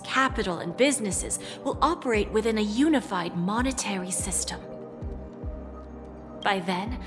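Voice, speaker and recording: A woman playing a shrewd, composed corporate negotiator in a video game, speaking with deliberate, assured phrasing and quiet menace. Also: on the high side, natural voice. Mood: smoothly persuasive. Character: cool, shrewd female corporate negotiator, calmly threatening. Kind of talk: dramatic video game voice acting.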